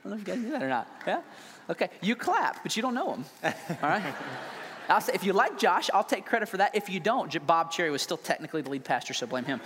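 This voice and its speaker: An energetic, joking man, a pastor, interviewing a colleague on stage with loud emphatic bursts. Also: high-pitched, fast. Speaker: lead pastor. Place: large church hall. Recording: reverberant hall, faint noise.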